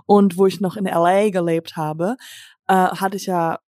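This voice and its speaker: A woman's voice: on the low side, steady tone, measured pace, darkish tone, no room sound. Chatty young German woman, dry mic. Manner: chatty, rambling, giggly, drops English phrases. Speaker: podcast co-host. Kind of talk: casual chat.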